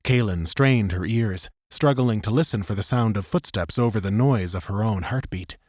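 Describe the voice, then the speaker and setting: Casting: male audiobook narrator, lowish voice, scripted story narration, close dry sound. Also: normal speed, darkish tone, dry recording.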